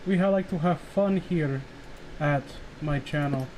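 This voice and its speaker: A man's voice: on the high side, measured pace, a little mellow, rough recording. A man hosting a casual livestream group call, with a teasing, profane way of talking. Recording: faint background noise, livestream group call.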